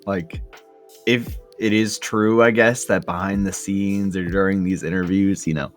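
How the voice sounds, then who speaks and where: Low-voiced man, tentative podcast panelist. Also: fairly even intonation, measured pace, faint music behind. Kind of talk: casual sports discussion.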